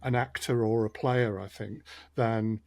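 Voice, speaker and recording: A man's voice: on the low side, fairly even intonation, measured pace, darkish tone, no room sound. A man who makes audio drama, talking as a podcast guest in casual chat, thoughtful and wry, with hesitant restarts.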